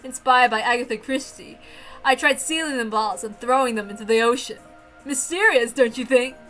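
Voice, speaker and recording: A woman's voice: a little high, normal speed, fairly bright tone, soft background music, lightly acted, poor audio. A woman voicing a smug, playful golden witch in a visual novel read-aloud, composed, theatrical and mocking. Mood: smug, pleased.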